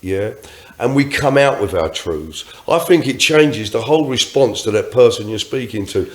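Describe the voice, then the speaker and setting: A man's voice: lowish voice, lively intonation, moderate pace. Guy on a podcast, earnest reflective rambling, echoey room. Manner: earnest, reflective, talks in long loose runs. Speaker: podcast co-host. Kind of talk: casual group discussion. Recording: roomy echo.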